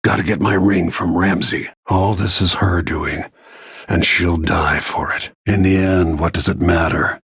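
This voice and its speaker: A man voicing a grim, middle-aged assassin and protective father in a video game, gravelly and husky, with terse, brooding, controlled delivery. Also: sits low, steady tone, measured pace, fairly bright tone, no echo, studio quality, exaggerated voice.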